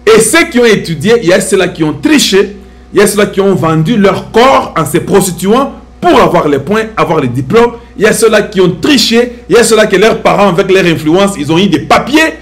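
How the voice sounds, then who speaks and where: A man's voice: high voice, animated, brisk. A middle-aged man with a Congolese French accent giving impassioned, preachy social commentary to his viewers, full of rhetorical questions.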